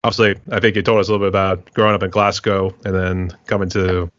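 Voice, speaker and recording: A man from the United States hosting a music podcast, talking fast in a low voice. He rambles and keeps tacking on filler phrases. The sound is dry and a bit dull, with no room around it. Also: little pitch movement, a bit bright, dry recording. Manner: rambling, repeats filler phrases like "here, too". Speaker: podcast host. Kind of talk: casual interview hosting.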